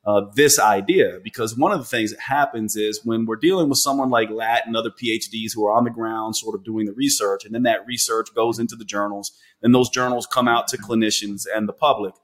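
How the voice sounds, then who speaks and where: A man's voice: lowish voice, steady tone, a little fast, hardly any pauses. A man hosting a podcast, a clinician asking long, winding, earnest questions with restarts. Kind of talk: interview questions.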